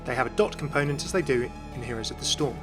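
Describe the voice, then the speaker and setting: A man's voice: medium pitch, quick pace, a bit bright, soft background music. A British man hosting a video, reading scripted game commentary and narration. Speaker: video host.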